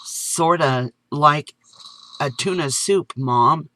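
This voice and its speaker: A man's voice: fairly high pitch, drawn-out words, bright, forceful tone, slight background noise, voice pushed up, exaggerated voice, rough recording. A man voicing an earnest little boy who keeps pleading and saying "Mom".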